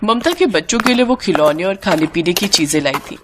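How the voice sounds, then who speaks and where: A woman narrating a scripted moral story in an expressive, dramatic way. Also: lowish voice, fairly quick, background noise.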